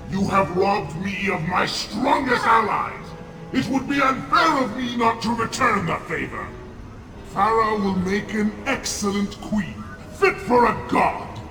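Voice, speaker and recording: High, swooping pompous monster villain. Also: male voice. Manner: pompous, menacing, sneering. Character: gloating male villain sorcerer turned immortal monster. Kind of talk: theatrical video game villain acting. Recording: echoey, distant, under loud music.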